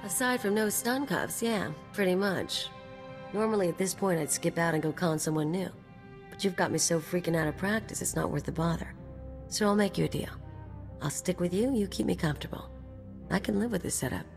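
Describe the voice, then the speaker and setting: Low-voiced, cynical mercenary woman. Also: a little fast, vocal fry, soft background music. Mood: wry. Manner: wry, blunt, streetwise. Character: cynical, self-serving mercenary woman, tough and blunt. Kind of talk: video game voice acting.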